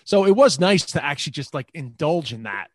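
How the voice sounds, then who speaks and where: A man's voice: on the high side, very animated, a little fast, dry recording, studio quality. A man with a New York accent, a comedian talking casually in an interview and often restarting his sentences. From New York, Queens. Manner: rambling, repeats words and restarts. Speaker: comedian guest.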